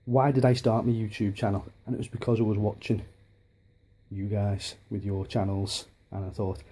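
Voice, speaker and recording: Rambling husky Liverpool man at home. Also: on the low side, little pitch movement, moderate pace, mellow. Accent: softened Liverpool. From Liverpool, England. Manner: rambling with many restarts and ums. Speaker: hobbyist vlogger. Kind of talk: casual home video chat. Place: home dining room. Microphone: handheld camera.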